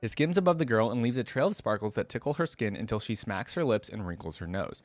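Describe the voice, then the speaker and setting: A man voicing a shy, clumsy young female fawn spirit as he reads a fantasy story aloud in a gentle, hesitant manner. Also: lowish voice, animated, fast, dry recording, close to an everyday voice. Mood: timid wonder. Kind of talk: first-person fantasy story read aloud.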